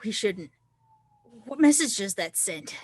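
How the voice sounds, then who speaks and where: A woman's voice: medium pitch, expressive, a little slow, darkish tone, breathy edge. A woman playing a sarcastic, defiant young snowboarder with a tender side at a screenplay table read. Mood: stubborn, deflated.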